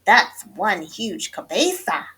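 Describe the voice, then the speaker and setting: A woman voicing an excitable, boastful little boy kitten in a storybook, full of exclamations and chanting. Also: medium pitch, slow pace, husky, full character voice, low-quality recording. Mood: gleeful. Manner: exclaims, chants and sings rhymes.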